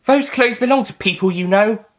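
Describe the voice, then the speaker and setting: A man's voice: high-pitched, moderate pace, fairly bright tone, voice pushed up. A man voicing an earnest, polite female space agency agent in an audio science fiction story. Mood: questioning, concerned.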